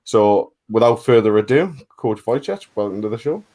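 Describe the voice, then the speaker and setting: A man's voice: lowish voice, animated, moderate pace, mellow. Man, Geordie accent, podcast co-host, friendly, self-deprecating. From North East England.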